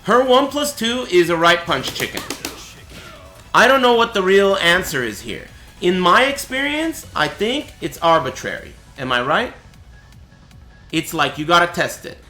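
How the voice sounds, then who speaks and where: A man with a raspy, cracking voice, teaching a fighting game on a live stream in a casual, explanatory way. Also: high-pitched, big pitch swings, a little slow, bright, forceful tone, reverberant, soft background music. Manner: chatty, explanatory, asks "right?" often.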